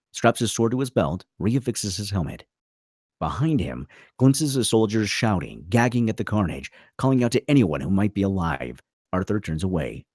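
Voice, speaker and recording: Low, animated man narrating a screenplay. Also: husky, no echo, clean studio sound. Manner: theatrical, punchy, clipped phrases. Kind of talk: dramatic reading of screenplay stage directions.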